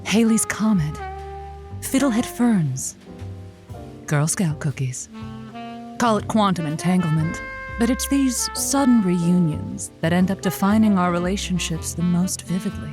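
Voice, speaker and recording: An actress playing a sardonic, flirtatious late-night radio host in an audio comedy, teasing and theatrical. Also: female voice, on the low side, lively intonation, a little slow, music in the background. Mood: sultry, playful.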